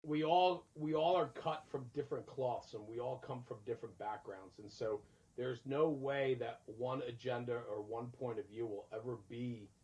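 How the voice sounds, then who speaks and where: A man's voice: medium pitch, moderate pace, darkish tone, rough recording. A man hosting a casual podcast conversation, speaking earnestly and reflectively, often posing rhetorical questions. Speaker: podcast host.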